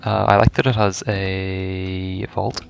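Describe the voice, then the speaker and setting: A man's voice: deep voice, level tone, slow, gravelly. A man giving casual first-reaction gameplay commentary, informal and stumbling a little as he reads game text aloud. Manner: informal, stumbles over words when reading aloud. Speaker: gaming video creator.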